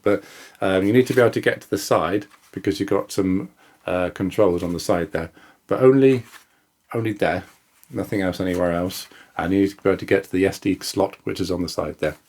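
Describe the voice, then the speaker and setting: A man's voice: fairly low pitch, darkish tone. A man giving a casual, chatty product demonstration, informally thinking aloud and correcting himself as he goes. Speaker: product reviewer and video host. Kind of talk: casual unboxing and demonstration talk to camera.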